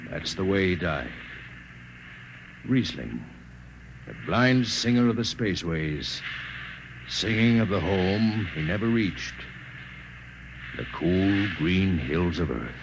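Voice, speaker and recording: A man's voice: sits low, expressive, takes it slowly, long pauses. A man giving solemn scripted narration for a 1950s radio drama. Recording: noisy 1950 radio recording.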